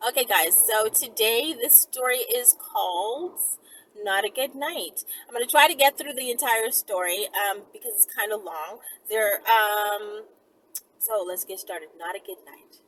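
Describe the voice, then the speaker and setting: A woman's voice: medium pitch, unhurried. A woman hosting her channel in an emphatic, repetitive casual monologue to her viewers. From Bahamas. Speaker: channel host. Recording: distant mic, loud vehicle-like background noise.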